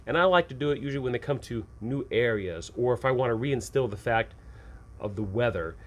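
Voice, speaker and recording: A man's voice: medium pitch, animated, brisk. A man hosting an advice show, talking through tips and examples in an informal, rambling, conversational way. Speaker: host of a tabletop game-mastering advice show. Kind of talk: conversational instructional talk, explaining with examples. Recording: clearly audible background noise.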